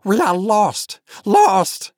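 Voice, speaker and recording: A man's voice: high-pitched, very animated, drawn-out words, darkish tone, no echo, studio quality, voice pushed up, full character voice. A man voicing a wretched, cringing small creature from a storybook, whining and whimpering in broken, repetitive phrases. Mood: pleading, hungry. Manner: repetitive broken speech, calls himself we.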